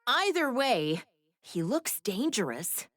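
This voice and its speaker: A woman's voice: on the high side, sing-song, slow pace, fairly bright tone, no echo, studio quality, heavily acted. An actress voicing a clever, sassy young woman scientist in an anime game, with a wry, chatty, slightly mischievous manner. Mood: wry, curious. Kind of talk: scripted game voice lines.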